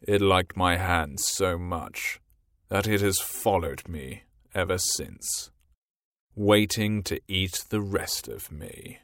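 A man voicing a scheming, menacing pirate captain in a storybook reading, speaking low and slow. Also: fairly bright tone, no echo, clean studio sound, full character voice. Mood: brooding. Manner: slow, low, brooding delivery.

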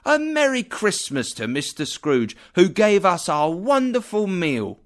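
A mild, loving clerk and father in a storybook, pitched a little higher, as a man voices him. His tone stays soft and kindly. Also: huge pitch swings, a little slow, full character voice. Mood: warm. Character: gentle poor male clerk, loving father.

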